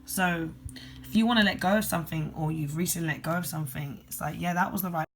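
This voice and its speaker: A tired tarot reader with a husky voice and a London accent, talking fast and bluntly through a general tarot reading. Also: mid-range voice, a bit bright, a little hiss or hum.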